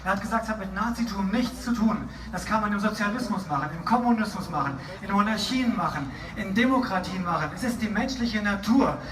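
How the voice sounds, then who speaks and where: A man's voice: sits high, a little fast, hardly any pauses. A man interpreting a political speech live at a rally in an emphatic, declamatory way. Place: outdoor rally in a city, over loudspeakers. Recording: echoey distant PA, music and crowd.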